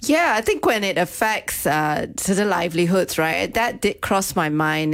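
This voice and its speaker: A woman co-hosting a radio show, lively and rambling in an upbeat way. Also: fairly low pitch, dry recording. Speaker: radio co-host. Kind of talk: casual radio talk.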